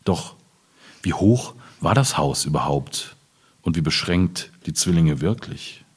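A man from Germany's Ruhr region, a poet at a literary reading, speaking in a husky, deliberate, measured way. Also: low-pitched, lively intonation. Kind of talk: poetry reading with informal commentary.

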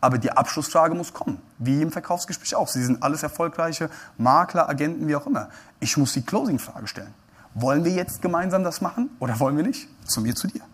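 A man's voice: on the high side, quick pace, slightly rough. A man giving a live keynote talk on a congress stage, joking and putting questions straight to the audience. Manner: lively, joking, addresses the audience directly with questions. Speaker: keynote speaker at a brokers' congress.

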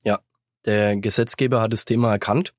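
A man with a slight cold, explaining things in a measured way as a guest in a business interview, recorded in a studio. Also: on the low side, steady tone, dry recording. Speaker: sales manager, interview guest. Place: company sound studio. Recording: dry studio sound.

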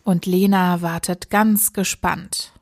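A woman's voice: fairly low pitch, fairly even intonation, slow. A woman performing as the narrator of a children's radio play, telling the story from a script. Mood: narrating.

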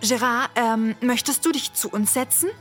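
A woman's voice: on the high side, normal speed, a bit bright, faint music behind, lightly acted. A woman playing a caring, kindly young friend in a children's audio play, sympathetic and earnest.

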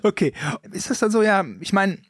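A man's voice: sits high, fairly even intonation, fairly quick, no echo, studio quality. An adult man hosting a casual interview, chatty and joking, with a slight accent and lots of fillers and trailing questions. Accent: slight non-native accent. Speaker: podcast host.

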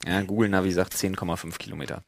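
A man's voice: sits low, steady tone, rapid-fire, vocal fry. A man chatting casually as a podcast host, telling stories in a slangy, sweary, mock-exasperated way. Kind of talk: casual chat, storytelling.

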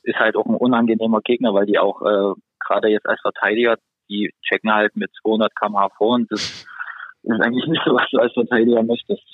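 A man's voice: lowish voice, level tone, slightly rough, no room sound. Guy calling into a podcast, phone-quality audio, lots of ähs and restarts. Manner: halting, lots of fillers and restarts. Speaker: ice hockey defenceman guest. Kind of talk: casual podcast interview. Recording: tinny phone-line sound, dry.

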